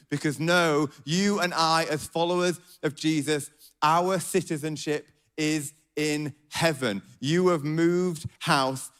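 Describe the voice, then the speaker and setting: A man with a Yorkshire accent preaching a sermon at a church gathering, earnest and often dropping to a hushed, intimate tone. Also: mid-range voice.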